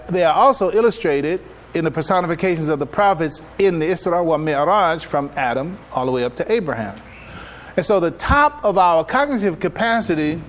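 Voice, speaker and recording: Male imam, high voice, emphatic teaching style with deliberate pauses and repeated phrases, slight live-recording noise. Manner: animated, emphatic, rhetorical questions and repetitions. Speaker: imam giving a public address. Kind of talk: religious lecture, teaching to an audience.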